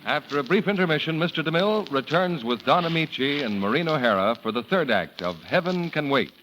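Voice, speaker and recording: A man's voice: fairly high pitch, lively intonation, brisk, over music, low-quality recording. A man delivering scripted announcements in 1940s radio style, as the programme's announcer. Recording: vintage 1940s radio broadcast.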